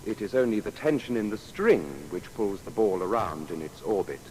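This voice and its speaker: An actor playing a natural philosopher, explaining in a measured way in a dramatised audio biography. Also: male voice, on the low side, expressive, darkish tone, natural voice. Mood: earnest. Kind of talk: dramatised scientific quotation. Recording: long hall reverb, faint noise.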